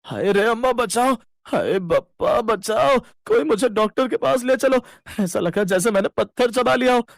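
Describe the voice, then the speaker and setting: A man's voice: very high-pitched, lively intonation, normal speed, gravelly, no echo, clean studio sound, exaggerated voice. A man voicing a dramatic, panicky male doctor in a cartoon story, wailing and exclaiming. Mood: panicked wailing.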